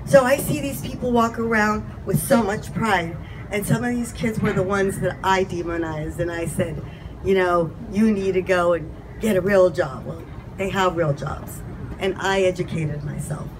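A woman's voice: mid-range voice, fairly even intonation, normal speed. Impassioned woman at outdoor mic. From California. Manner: candid, impassioned, self-deprecating. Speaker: community speaker. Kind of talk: informal speech to a crowd. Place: outdoor patio event, crowd standing around. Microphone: handheld mic. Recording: outdoor event with crowd noise behind.

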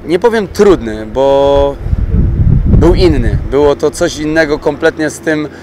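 A man's voice: on the high side, animated, unhurried. A man in his thirties from Poland, a youth sports coach giving plain-spoken, reflective interview answers. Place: open-air speedway stadium pit area. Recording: outdoor pit-lane noise behind voice.

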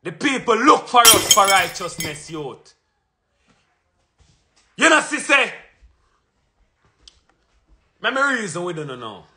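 Jamaican man in his thirties, home phone vlog, high forceful voice, confrontational. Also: sing-song, slow, pauses often, a little hiss or hum. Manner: emphatic and confrontational, punches out lists and repeats phrases. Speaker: vlogger. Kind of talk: fiery monologue to camera. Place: small indoor room at home. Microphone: phone camera at arm's length.

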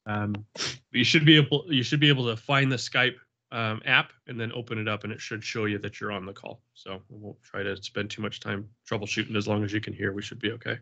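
Man with a cold, low flat voice, earnest prayer cadence, dull lo-fi call audio. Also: fairly quick. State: has a cold, sniffling. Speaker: Bible study leader. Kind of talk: prayer and casual group talk. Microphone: video call.

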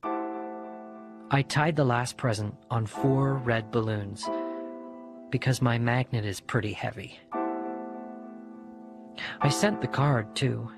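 A man reading a first-person children's story as an audiobook narrator, with a husky voice. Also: mid-range voice, slow pace, pauses often, music in the background. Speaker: audiobook reader.

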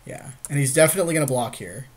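A man's voice: on the high side, expressive, very fast. A man giving casual, agreeable commentary on a game, talking informally with a partner. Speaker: podcast co-host.

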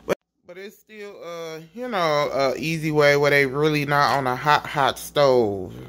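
A woman filming her child cooking in a small home kitchen, chatty and coaching, gently correcting as she goes, recorded on a phone. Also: deep voice, measured pace, slight background noise. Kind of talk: casual home cooking vlog. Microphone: phone camera held nearby.

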